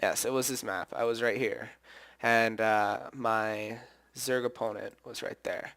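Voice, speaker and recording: A man giving casual, rambling commentary over his own game, thinking aloud and correcting himself, his voice slightly hoarse from singing. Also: on the low side, steady tone, unhurried, fairly bright tone. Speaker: gameplay commentator.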